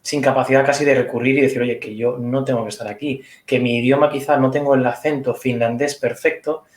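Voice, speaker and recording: A man answers audience questions at an online conference, weighing each point and reaching for examples. He talks briskly. His voice carries the echo of a room over a video call. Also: medium pitch, steady tone. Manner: thoughtful, hedging, explains with examples. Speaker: conference speaker.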